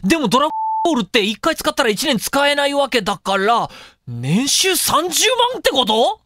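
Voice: high voice, very animated, bright, forceful tone, dry recording, full character voice. A performer playing a cheeky, incredulous young man with slangy, exclamatory speech in a comedic voice drama. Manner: slangy, quick-reacting, exclamatory.

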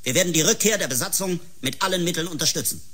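Man acting a high-pitched, brusque project leader. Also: expressive, fast, music in the background, lightly acted. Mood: dismissive. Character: stern, sceptical male space project leader. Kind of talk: German science-fiction radio drama acting.

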